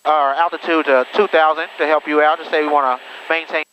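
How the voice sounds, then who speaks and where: A man's voice: fairly high pitch, fairly even intonation, brisk, a bit bright. A man coaching and chatting casually as a pilot over a cockpit headset, encouraging and informal. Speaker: pilot host coaching and interviewing a guest. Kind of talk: casual in-flight instruction and chat. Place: small airplane cockpit in flight. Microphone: aviation headset intercom. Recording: dull lo-fi cockpit radio audio, engine noise.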